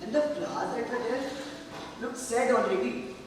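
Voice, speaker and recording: A man in an amateur stage play acting an earnest, guilt-ridden young friend visiting a hospital patient, halting and insistent. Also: very high voice. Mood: guilty, earnest. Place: large hall stage. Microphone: distant microphone. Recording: echoey hall from far off, music.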